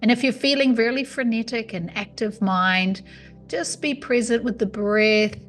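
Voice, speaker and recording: A woman leading a guided yoga nidra meditation in a calm, soothing, unhurried way. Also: on the high side. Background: music.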